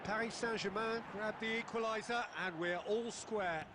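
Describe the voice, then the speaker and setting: A man calls a football match play by play in a high, carrying voice. He stays measured through build-up and lifts sharply when a chance appears. The capture carries a clear layer of noise underneath. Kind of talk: scripted match commentary.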